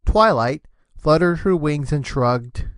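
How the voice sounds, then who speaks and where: A man's voice: fairly high pitch, lively intonation, takes it slowly, no room sound, studio quality. A man giving scripted storybook narration of a cartoon fan fiction.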